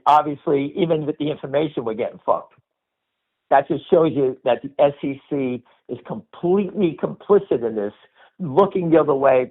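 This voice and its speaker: A muffled, lo-fi, radio-like line carries an older man with a fairly high-pitched voice. He gives off-the-cuff market commentary as a call host, blunt and profane, hammering his points by repeating them. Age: middle-aged or older. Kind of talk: unscripted opinionated market commentary.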